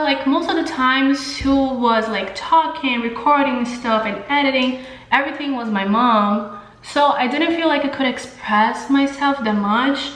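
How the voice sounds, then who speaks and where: Echoey, higher-pitched young Brazilian woman. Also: a bit bright. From São Paulo, Brazil. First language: Portuguese. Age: young adult. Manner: informal, slangy, candid. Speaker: beauty vlogger. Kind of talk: casual chat to camera while doing makeup.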